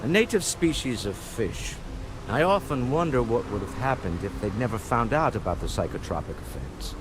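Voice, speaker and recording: A man's voice: mid-range voice, sing-song, normal speed, background noise, close to an everyday voice. An actor playing a worldly businessman companion in a video game, musing in a dryly ironic way. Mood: wry musing. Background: game music.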